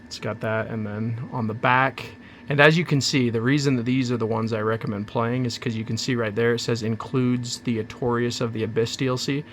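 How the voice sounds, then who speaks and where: A man hosting his own channel, chatting casually and rambling through an unboxing, hoarse from a cold. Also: mid-range voice, steady tone, fairly quick, fairly bright tone, slight background noise. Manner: rambling, chatty, self-interrupting. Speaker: channel host.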